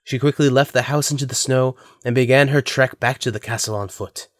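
A man's voice: mid-range voice, fairly even intonation, fairly quick, no echo, studio quality. A man narrating a scripted audio drama as its storyteller.

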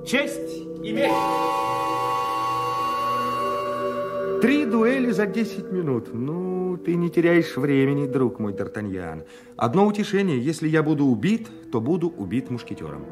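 An actor playing an impetuous, self-mocking young provincial swordsman in a radio play, excitable and theatrical. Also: a little high, moderate pace, music in the background. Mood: wry, excited. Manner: excitable, theatrical, muttering to himself.

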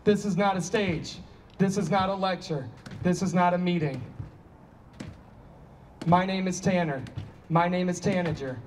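A man's voice: sits high, unhurried, pauses often, darkish tone. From some distance on an outdoor stage comes an actor as a troubled man who drinks, rambling and defensive. He is in his thirties, reading a stage play live with faint noise around him. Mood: declaiming. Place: outdoor stage against a building wall.

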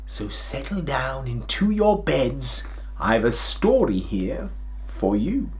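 A middle-aged man playing a kindly, jolly, forgetful old grandad king, telling a children's story in an expressive, theatrical way. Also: medium pitch, slow pace. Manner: theatrical, expressive, with hammed-up hesitations and chuckles.

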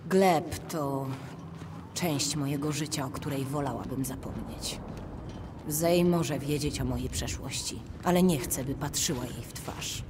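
A woman's voice: rumbling low, a little slow, background noise. A woman playing a grim, resolute veteran soldier and mother in a video game, speaking tersely and seriously. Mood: somber, guarded. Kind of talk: dramatic video game acting.

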